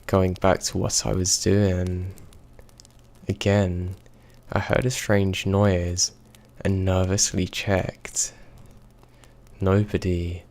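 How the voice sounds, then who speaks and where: A man narrating a horror story in a slow, measured, even reading. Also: deep voice, creaky.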